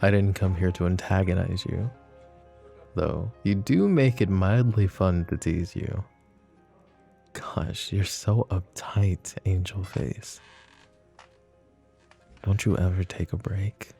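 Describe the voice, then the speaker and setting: Guy doing a cocky mafia boss, deep and slow, teasing the detective, faint music behind. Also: gravelly, warm tone, exaggerated voice. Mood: smug teasing. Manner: teasing, taunting, calls her pet names. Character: smug flirtatious male mafia boss under questioning. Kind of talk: roleplay ASMR.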